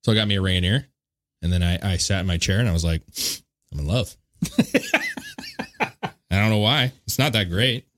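A man's voice: deep voice, normal speed, pauses often, a bit bright. A man hosting a podcast in loose, laid-back banter, swearing freely and chuckling between remarks. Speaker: podcast host.

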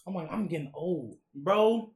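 Playful co-host, lively teasing chat. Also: mid-range voice, measured pace. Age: late 20s. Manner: playful, teasing, says "bro" a lot. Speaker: podcast co-host. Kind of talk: casual chat.

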